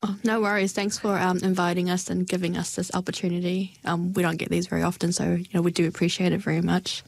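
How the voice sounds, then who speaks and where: A woman raised in New Zealand with a slight Kiwi accent, talking relaxed and casual as a radio guest. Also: fairly low pitch, fast, vocal fry, dry recording. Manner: relaxed, casual, filler-heavy. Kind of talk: radio interview.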